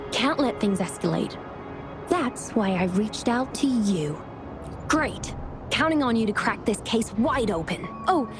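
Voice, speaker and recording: An actress playing an upbeat, confident young woman who briefs someone on a mystery in a breezy, colloquial way in a video game. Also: on the high side. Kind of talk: video game story dialogue. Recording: music behind the voice.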